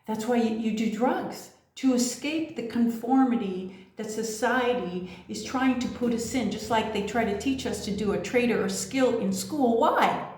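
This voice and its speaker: A woman's voice: a little high, a little slow, hardly any pauses, a little mellow. A woman giving an impassioned, profane monologue as a spiritual coach, firing off rhetorical questions and swearing freely. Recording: very echoey room.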